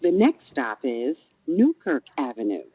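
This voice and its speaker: A woman reading scripted transit announcements as a train announcer, in measured, separate phrases. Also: very deep voice, big pitch swings, mellow, no echo, poor audio. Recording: recorded train announcement with clear noise.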